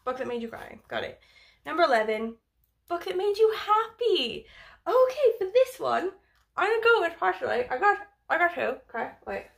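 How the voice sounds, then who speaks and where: A woman's voice: extremely high pitch, very animated, moderate pace, gravelly. A young woman from Wales chatting casually about books, rambling with lots of fillers and the odd swear word. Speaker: book vlogger. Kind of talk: casual book review chat.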